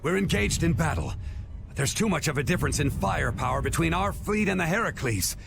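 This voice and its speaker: An actor playing a desperate knight officer who pleads urgently for help. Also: male voice, a little high, animated, brisk, gravelly. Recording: game audio with loud music behind.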